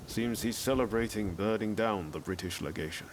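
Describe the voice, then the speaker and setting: Laid-back low-voiced young samurai played by an actor. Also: male voice, normal speed, a little hiss or hum, natural voice. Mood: troubled, musing. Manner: casual, laid-back phrasing. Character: easygoing young samurai man with a principled streak. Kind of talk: video game cutscene acting.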